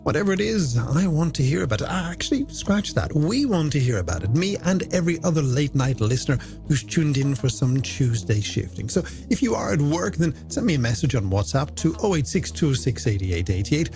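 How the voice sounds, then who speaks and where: A man's voice: a little high, very animated, brisk, few pauses, darkish tone. An Irish man presenting a late-night music radio show in a polished, theatrical way with flowery phrasing and rhetorical questions, over a music bed.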